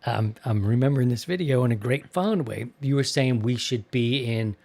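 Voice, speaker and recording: A man's voice: mid-range voice, moderate pace, vocal fry, darkish tone. Enthusiastic, rambling male podcast host. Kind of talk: casual chat.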